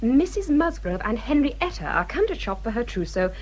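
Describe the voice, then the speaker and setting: A woman's voice: fairly high pitch, sing-song, normal speed, darkish tone. An actress playing a vain, haughty upper-class English lady in a period radio drama, imperious and affected. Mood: aggrieved, gossipy. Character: haughty, vain, self-absorbed Regency lady.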